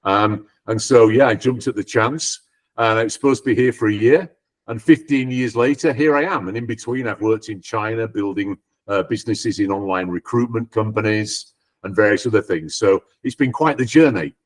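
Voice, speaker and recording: A man's voice: lowish voice, normal speed. A Scottish-born man in his sixties, a guest on a video call, chatting in an easygoing, self-deprecating way with lots of ums. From Scotland. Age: 60s. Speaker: guest, company co-founder. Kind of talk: casual interview chat.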